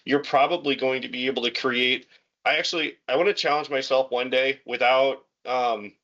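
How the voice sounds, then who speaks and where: A man's voice: medium pitch, steady tone, moderate pace, pushed and bright. A man speaking in a casual video call chat, encouraging and conversational, who often repeats words. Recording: muffled call audio.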